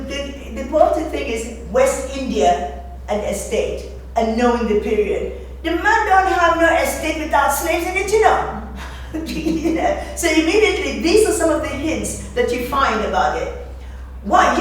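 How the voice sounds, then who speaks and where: A woman giving an informal lecture, speaking emphatically and conversationally, with rhetorical questions and repeated phrases. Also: high voice. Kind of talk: informal lecture with readings from a novel. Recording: very echoey room, distant mic, faint noise.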